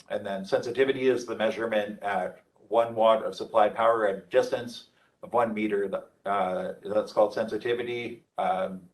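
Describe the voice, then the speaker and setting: Low-voiced man giving halting technical training, slightly distant. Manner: halting, hesitant delivery with frequent pauses. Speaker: trainer presenting product training. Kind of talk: informal technical lecture over slides.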